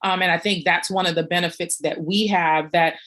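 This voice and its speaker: A woman speaking on a panel as a community organizer, earnest and reflective, pausing with frequent fillers. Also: lowish voice, fairly even intonation, a bit bright. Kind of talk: panel discussion.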